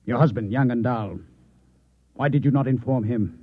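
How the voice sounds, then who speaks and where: An actor playing a cold, controlling Martian agent posing as a reporter, speaking formally and with quiet menace in an old-time radio drama. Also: male voice, mid-range voice, normal speed, breathy edge, no echo, natural voice. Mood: controlled, suspicious. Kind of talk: golden-age radio drama acting. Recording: vintage radio broadcast, narrow phone-like band.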